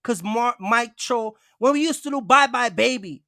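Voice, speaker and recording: A man's voice: extremely high pitch, animated, normal speed, bright, forceful tone, no room sound, studio quality. A man talking casually and emphatically as a trucking vlogger, repeating himself and addressing listeners directly in streetwise language. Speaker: box truck owner-operator and vlogger. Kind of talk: casual, streetwise storytelling talk.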